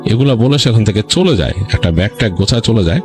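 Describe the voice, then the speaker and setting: A man's voice: lowish voice, animated, fast talker, darkish tone, soft background music. A man with a Bangladeshi accent narrates a story informally, in a colloquial, rambling way with explanatory asides. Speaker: ghost story narrator on a radio-style show.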